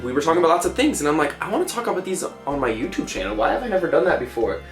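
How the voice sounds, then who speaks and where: A man's voice: fairly high pitch, sing-song, very fast. A man in his late twenties, chatting casually and expressively as a vlog co-host over a glass of wine. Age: 20s. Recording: roomy sound with faint music.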